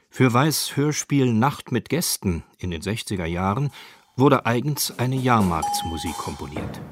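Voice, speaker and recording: A man reading scripted radio documentary narration in a measured, composed, literary manner. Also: low voice.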